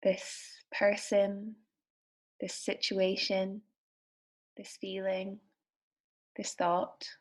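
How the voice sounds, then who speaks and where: A woman speaks as a meditation guide, with a medium-pitched voice. Her delivery is soothing and gentle, giving soft instructions in short, unhurried phrases with room left between them. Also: completely flat delivery.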